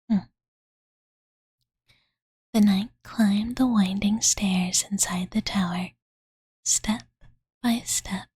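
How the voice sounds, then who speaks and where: A woman voicing a cute, devoted dragon girl in a soft, breathy ASMR bedtime-story whisper, gentle and casual, close to the microphone. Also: medium pitch, no room sound. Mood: cute musing. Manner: slow, gentle, valley-girl filler "like", refers to self in third person. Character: cute, childlike dragon girl, devoted and slightly pouty, telling bedtime stories. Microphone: close studio condenser microphone, dry.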